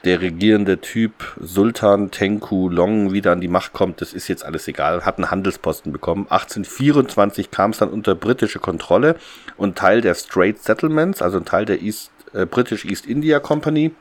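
A man's voice: fairly low pitch, brisk. A man co-hosting a podcast, chatting casually and bluntly about an audio drama in informal language. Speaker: podcast co-host.

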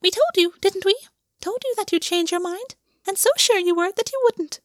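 A woman's voice: very high voice, normal speed, no echo, clean studio sound, pitched above the performer's own voice. A woman voicing a mocking paper origami bird with a chirping, papery voice. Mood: taunting. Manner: taunting, riddling.

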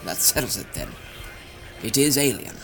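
Man acting genteel eccentric scientist, lively melodic delivery. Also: medium pitch, slight background noise, natural voice. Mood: grave, assured. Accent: British. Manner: genteel, deliberate, faintly pompous. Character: eccentric alien scientist, older gentleman, assured, courtly. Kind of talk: audio drama acting.

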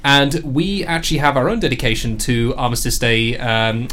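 A man's voice: medium pitch, fairly even intonation, moderate pace, a bit bright. A man presenting informally and live as a radio show host in a studio.

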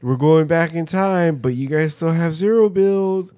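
A man's voice: fairly high pitch, a little slow, clear, resonant tone, dry recording, studio quality. A young man chatting casually as a podcast co-host, telling stories in slangy, profane language. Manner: profane, slangy, animated storyteller. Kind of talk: casual banter among friends.

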